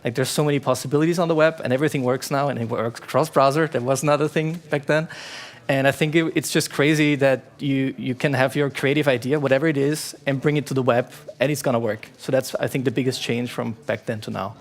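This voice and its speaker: A man's voice: mid-range voice, steady tone, quick pace. A man from Austria speaking as a panelist, casual and enthusiastic, with a lot of "like" in his answers. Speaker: panelist, agency co-founder.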